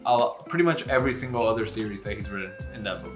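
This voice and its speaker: A man's voice: lowish voice, rapid-fire. A man co-hosting a book vlog in casual conversation, with emphatic, wryly teasing delivery. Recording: roomy echo, faint music behind.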